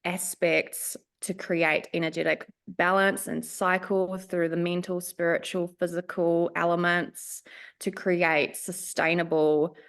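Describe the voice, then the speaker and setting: A woman's voice: fairly low pitch, a bit bright, clear, resonant tone. A woman raised in Finland with a New Zealand accent, whose first languages are Swedish and Finnish, talking chattily as an interview guest with frequent ums and laughs. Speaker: guest, spiritual life coach. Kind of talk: casual podcast interview.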